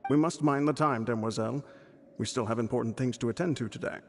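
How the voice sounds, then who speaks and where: Man acting courteous attendant, middle-pitched, formal, insistent, game dialogue, faint ambience. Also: quick pace, slight background noise, natural voice. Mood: quietly insistent. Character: formal, urging male attendant to a young lady.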